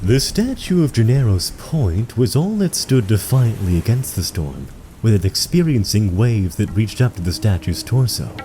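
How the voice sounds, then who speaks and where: A man narrating a scripted fantasy audio drama in a measured, dramatic storytelling manner. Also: fairly low pitch, very animated, mellow, a little hiss or hum. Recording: background music under the voice. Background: music.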